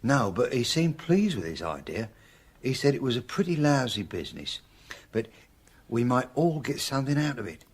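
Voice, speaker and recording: Casting: nervous, hesitant small-time crook, British accent, mid-pitched husky voice, plain-spoken, radio drama. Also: male voice, sing-song, moderate pace. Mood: uneasy. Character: nervous, terse small-time garage owner and crook, man.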